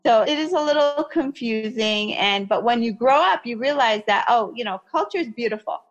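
A woman's voice: fairly high pitch, lively intonation, moderate pace, dull audio. A woman raised in America by Iraqi parents, explaining skin care in a chatty interview and often checking in with "okay?" and "you know". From Iraqi family, raised in America. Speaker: skincare expert guest.

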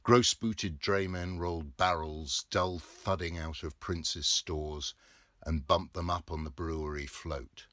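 A man in his fifties narrating a literary novel with measured, deliberate phrasing. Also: low-pitched, steady tone, a bit bright, dry recording, studio quality. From England. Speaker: audiobook reader.

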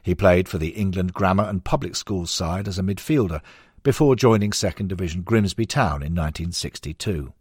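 A man with a very low, husky voice narrates a sports history audiobook. The recording is dry and close, with very little room sound. He reads scripted non-fiction prose. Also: fairly quick, a little mellow, no echo.

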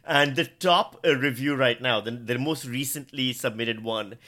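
A man's voice: medium pitch, moderate pace, sharp tone. A man talking as a podcast guest in casual, joking banter.

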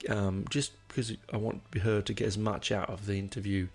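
A man hosting a music podcast in a casual, rambling way, his voice croaky from a cold. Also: on the low side, flat delivery, brisk. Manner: rambling, many ums and restarts. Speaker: podcast host.

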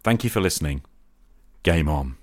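A man hosting a podcast in a conversational, earnest and reflective way, with measured phrasing. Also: a bass voice, lively intonation, over loud music. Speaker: podcast host. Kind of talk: conversational podcast talk on tabletop roleplaying.

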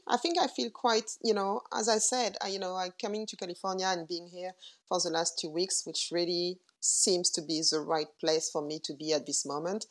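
A woman in her forties with a French accent, talking through her answers as a guest in a casual interview, often pausing on fillers and restarting. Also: lowish voice. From France. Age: 40s. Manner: thoughtful, with frequent fillers and restarts. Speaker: guest entrepreneur.

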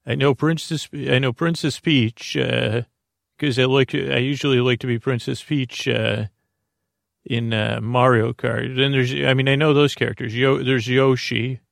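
A man with a gravelly, creaky voice drifts from thought to thought in an unhurried bedtime ramble. He trails off and restarts constantly, filling the gaps with soft "uh"s. The sound is dry and close, with no room around it. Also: medium pitch, steady tone, no room sound. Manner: meandering, many fillers and restarts. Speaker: podcast host. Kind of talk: rambling sleep-podcast monologue.

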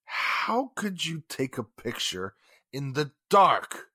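A sneering blackmailer out of an old radio play, acted by a man, condescending and blustering. The voice is sharp and forceful, swinging widely in pitch, in a dry recording. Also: big pitch swings, slow, breathy edge, no echo, heavily acted. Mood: defensive, blustering. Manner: mocking, condescending. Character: cornered, sneering male blackmailer, smug then blustering. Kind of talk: 1940s radio drama acting.

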